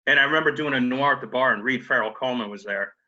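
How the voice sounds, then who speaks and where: A man in his fifties telling animated stories and teasing his co-host. His voice is very bright and cutting, in the middle of the male range, and the video-call audio is muffled and lo-fi. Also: mid-range voice, racing. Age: 50s. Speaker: podcast co-host and novelist. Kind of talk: casual chat over a video call.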